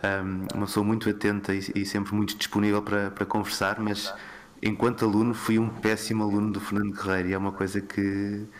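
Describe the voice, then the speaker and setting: A man's voice: fairly low pitch, completely flat delivery, quick pace. A man, a podcast guest speaking reflectively and self-deprecatingly, stammering on restarts. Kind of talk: reflective conversation.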